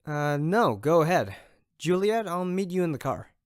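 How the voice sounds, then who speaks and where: A man's voice: fairly high pitch, very animated, normal speed, no echo, studio quality. Friendly, caring male officer played by a man. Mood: casual, awkward. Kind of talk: audio crime drama acting.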